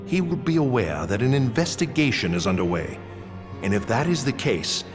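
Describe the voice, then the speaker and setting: A man's voice: medium pitch, big pitch swings, over music, lightly acted. A man playing a courtly old knight captain. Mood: earnest. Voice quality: formal, measured and courtly. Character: proud old male knight captain, gracious. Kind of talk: video game cutscene voice acting.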